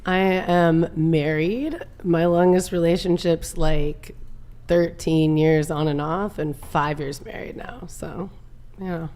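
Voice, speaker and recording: Slow-talking woman in her thirties. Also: lowish voice, fairly even intonation, mellow. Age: 30s. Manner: slow, laughing asides. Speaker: podcast guest. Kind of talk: casual panel talk.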